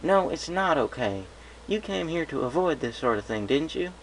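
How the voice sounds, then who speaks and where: A man's voice: a little high, sing-song, moderate pace, voice pushed up. A man voicing a protective big-sister pony, a direct, folksy country mare, reading a story. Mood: firm scolding. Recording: noisy home recording.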